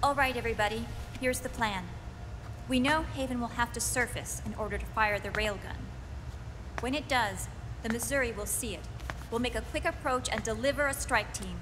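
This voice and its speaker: A woman's voice: a little high, background noise, natural voice. Woman as a commanding officer, ringing reverb. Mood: firm, businesslike. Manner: authoritative, measured, formal. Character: commanding, earnest female military officer giving a briefing. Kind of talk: video game cutscene acting. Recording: hall reverb, music behind.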